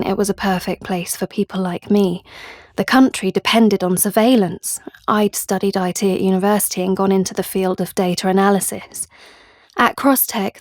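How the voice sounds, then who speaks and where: Actress playing anxious young woman, British accent, mid-pitched, confiding, close dry recording, audio drama monologue. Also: a little mellow, no room sound, close to an everyday voice. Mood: proud, intense. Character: anxious, guilt-ridden, clever young woman data analyst.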